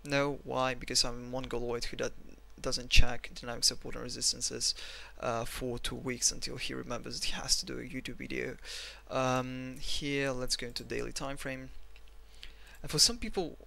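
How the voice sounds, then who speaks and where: Gravelly Russian-accented man, bright tone. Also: mid-range voice, little pitch movement, moderate pace. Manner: self-mocking, rambling, blunt. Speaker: trading analyst. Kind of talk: screen-recorded chart analysis.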